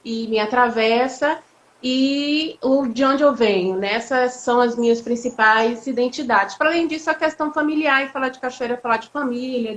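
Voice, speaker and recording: A woman, a law professor talking reflectively with many fillers and restarts in a live online interview. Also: fairly high pitch. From Bahia, Brazil. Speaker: law professor, guest speaker. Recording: echoey, dull lo-fi audio.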